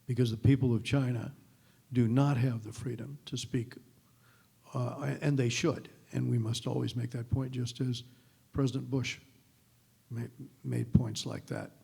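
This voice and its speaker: Male panelist, deliberate and firm, dark and monotone voice. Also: mid-range voice, creaky, slightly rough. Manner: firm, deliberate, stresses points. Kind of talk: panel answer. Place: auditorium.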